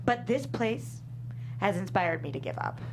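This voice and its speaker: A woman playing a glum, high-achieving young intern, deadpan, in a comedy table read. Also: a little slow, rough recording. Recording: faint room noise and hum.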